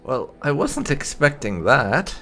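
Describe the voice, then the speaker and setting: A man hosting a gaming video, giving casual, wry playthrough commentary with some light roleplay in a gravelly voice. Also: mid-range voice, very animated, slow, bright, forceful tone. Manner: wry, sarcastic. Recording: game music behind. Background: game music.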